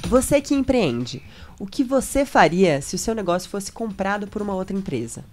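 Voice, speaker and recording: A woman hosting a business podcast, reading a clear, measured scripted intro and then asking interview questions. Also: mid-range voice, very animated, a little mellow, faint music behind.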